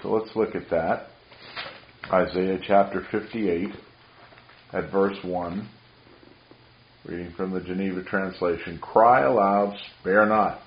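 A very low-pitched man preaches in an earnest, rambling way, his carrying voice ringing around a roomy space. The recording sounds muffled and dull. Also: fairly even intonation, slow, long pauses, slight background noise. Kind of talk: sermon.

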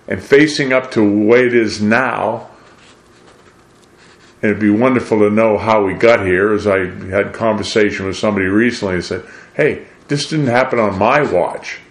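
Older American man, echoey room. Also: on the low side. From New England, USA. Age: 60s or older. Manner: deliberate, emphatic, rhetorical questions. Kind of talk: preachy monologue with read-out quotations. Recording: roomy, echoing voice.

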